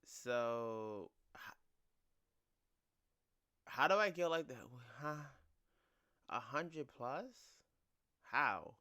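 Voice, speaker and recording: Bright-voiced man doing blunt reaction commentary. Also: medium pitch, lively intonation, extremely slow, long pauses, smooth. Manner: blunt, profane, incredulous. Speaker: reaction video host.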